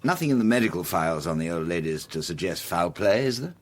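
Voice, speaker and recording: Animated, lower-pitched amateur detective. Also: male voice. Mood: pondering. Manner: measured, polite, wry. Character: courteous, guarded male amateur detective, a father. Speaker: actor in radio drama. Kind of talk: radio drama acting.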